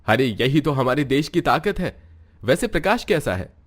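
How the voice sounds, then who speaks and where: A man playing a proud, warm, patriotic army major in a cartoon moral story. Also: mid-range voice, very animated, moderate pace. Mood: proud, friendly.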